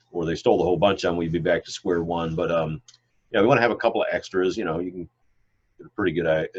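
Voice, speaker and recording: A man's voice: sits low, slightly rough. A middle-aged man who says he is congested, teaching a biology class over a video call in a loose, rambling way with many fillers. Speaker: biology professor. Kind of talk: online class lecture with questions. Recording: dull lo-fi video call audio.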